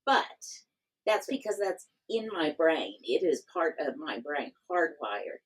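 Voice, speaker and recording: Low, husky-voiced woman professor. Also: sing-song, slow pace, poor audio. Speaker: professor and company founder. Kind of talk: podcast interview.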